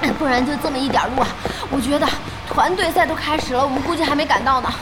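A woman playing an excitable, impatient young e-sports fan in an audio drama, chatty and exclamatory. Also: high-pitched, fast, heavy background noise, lightly acted. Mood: excited, explaining.

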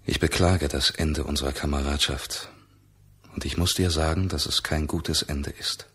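An actor playing a companionable, mournful feather hunter in a dramatic, emotive German radio play. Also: male voice, a bass voice, fairly even intonation, moderate pace, fairly bright tone. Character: Narciso, male jungle feather hunter, companionable, mournful, hurt.

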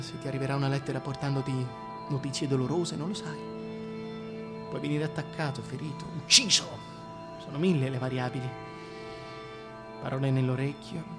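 A man playing a weary, wary figure in a radio drama, giving a hushed, deliberate, poetic monologue. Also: on the high side, close to an everyday voice. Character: weary, fearful man brooding on war and betrayal.

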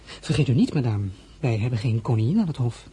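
An actor playing a young crown prince in a radio play, formal and earnest, sometimes getting heated. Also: male voice, mid-range voice, expressive, fairly quick, warm tone, husky.

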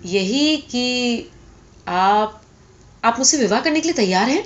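A woman's voice: on the high side, expressive, dull audio, voice pushed up, close to an everyday voice. A woman voicing a timid, astonished male donkey suitor in a story, with hesitant, stammering questions. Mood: nervous, hesitant.

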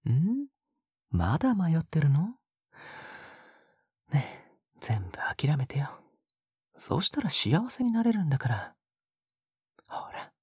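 A woman playing a sweetly possessive, sadistic young male captor in an audio drama, murmuring intimately to the listener in a husky, gently menacing voice that slips into whispers. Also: low-pitched, no echo, studio quality, full character voice. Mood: coaxing, whispery. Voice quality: breathy, husky.

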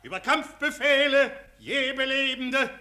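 An actor playing a fanatical war poet declaiming martial verse with bombast in a 1940s radio play. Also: male voice, measured pace, sharp tone, a faint crowd, full character voice. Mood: bombastic. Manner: declamatory, shrill, martial fervour.

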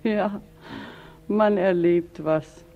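An actor playing a callous, flippant housewife in a 1940s radio drama, offhand and mock-sympathetic. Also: male voice, sits high, fairly even intonation, extremely slow, creaky, exaggerated voice. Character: callous, flippant petty-bourgeois Frau Kramer. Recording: muffled, noisy 1940s radio recording.

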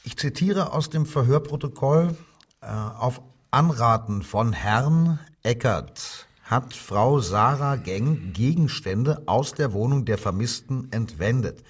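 Husky, menacing police interrogator. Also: male voice, medium pitch, expressive, slow, recorded from a distance. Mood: sardonic, accusing. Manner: sardonic, probing, controlled, commanding. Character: menacing Nazi police commissioner, coldly mocking interrogator. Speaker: actor. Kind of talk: German radio crime drama acting.

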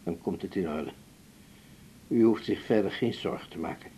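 An actor playing a courteous, composed older male patient in a radio drama, speaking gently and haltingly. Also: gravelly. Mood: calm, solemn. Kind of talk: 1980s Dutch radio drama acting. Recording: faint rumbling background noise.

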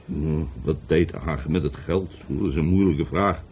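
An actor playing an evasive, volatile middle-aged businessman questioned by police in a radio play, husky, stammering and repeating his words. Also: extremely low pitch, normal speed, a little mellow. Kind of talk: Dutch radio play acting.

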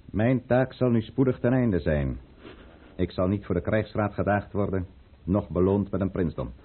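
An actor playing a proud, resolute conspirator count in a radio drama, with grave, commanding, theatrical delivery. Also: male voice, deep voice, normal speed, a little hiss or hum, lightly acted. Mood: solemn, resigned. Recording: muffled, narrow-band old radio play recording.

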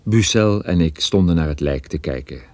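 Deep, mellow, laconic detective. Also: male voice, expressive, normal speed, dry recording. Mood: grim suspense. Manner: dry, laconic, wry. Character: hard-boiled, wry male private detective recounting his case. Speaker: narrator and protagonist. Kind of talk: scripted radio play narration.

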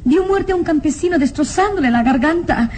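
Radio serial ingénue: high voice, earnest, anxious, dutiful daughter with a pleading manner. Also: female voice, lively intonation, brisk, darkish tone. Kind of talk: old radio drama acting.

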